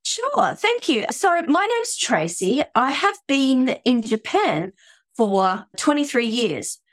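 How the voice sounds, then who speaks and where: A woman's voice: high-pitched, very animated, slow pace, no room sound. A middle-aged Australian woman chatting as a guest on a podcast. She talks freely, restarting her sentences and filling with "you know". The recording is dry and close. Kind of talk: conversational interview.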